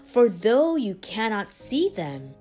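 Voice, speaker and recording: A woman reads a children's picture book aloud in verse. Her pitch rises and falls expressively while the pace stays slow and deliberate, giving each phrase some drama. Music plays behind her voice. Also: very animated, dark tone. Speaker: storybook reader.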